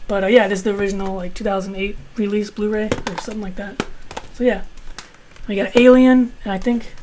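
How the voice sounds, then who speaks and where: A man in his twenties with a high-pitched voice, reviewing his film collection in a rambling, casual way with some swearing. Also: slight background noise. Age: 20s. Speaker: video host.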